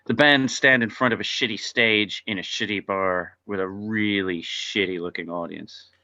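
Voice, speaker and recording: Low, bright-voiced man narrating, lo-fi. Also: steady tone, moderate pace. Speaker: narrator reading stage directions. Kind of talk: scripted narration at a table read.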